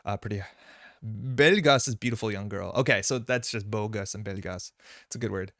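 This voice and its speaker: A man's voice: on the low side, moderate pace, a bit bright, no echo, studio quality. A man whose first language is Slovenian, hosting a live stream in loose, rambling casual chat with many fillers and restarts. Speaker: stream host. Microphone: desk mic.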